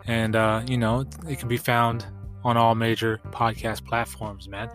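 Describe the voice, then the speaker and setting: Man, podcast host, slangy and informal, slightly stuffed-up nose, lively range not needed, faint music underneath. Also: medium pitch, unhurried. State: congested from allergies. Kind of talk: casual podcast talk.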